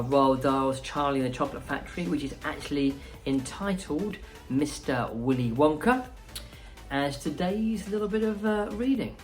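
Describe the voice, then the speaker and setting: A woman, a school principal reading a storybook aloud expressively, with pauses and small asides. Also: very deep voice. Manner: unhurried, expressive, pausing.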